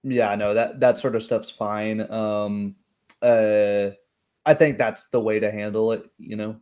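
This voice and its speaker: A man's voice: lowish voice, fairly even intonation, measured pace, a little mellow. A man talking casually as a game master after a session, thinking aloud in a rambling way. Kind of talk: casual post-game discussion.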